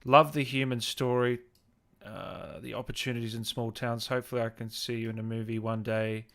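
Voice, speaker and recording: A man's voice: medium pitch, level tone, normal speed, a bit bright. A man hosting a casual online interview, speaking in an agreeable, appreciative way. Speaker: interview host.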